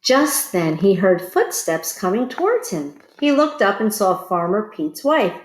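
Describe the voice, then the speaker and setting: Woman, children's read-aloud, unhurried pace, lively swooping intonation, roomy echo, questions to young listeners. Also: mid-range voice. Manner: expressive, pausing to ask young listeners questions. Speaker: teacher reading a picture book to children.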